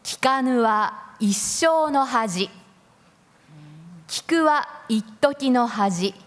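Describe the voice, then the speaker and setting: A woman carefully reading phrases aloud for a contest, deliberate and repeating each one. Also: fairly high pitch, lively intonation. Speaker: reader of Japanese contest phrases. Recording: echoey hall with long reverb.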